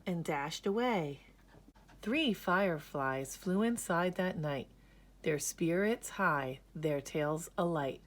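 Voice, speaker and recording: A woman reads a children's picture book aloud at a very unhurried pace. Her voice sits a little low, and she tells the rhymed story in an expressive, measured way.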